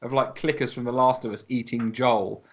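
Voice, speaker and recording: A man's voice: medium pitch, steady tone, fairly quick, noisy background. A man rambling casually and swearing as he banters with friends as a podcast host.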